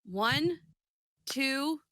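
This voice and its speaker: A woman with a bright, forward voice co-hosts a casual podcast. She is animated and playful, bantering and swearing freely. The sound is close and dry. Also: sits high, drawn-out words, dry recording, poor audio. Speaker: podcast co-host.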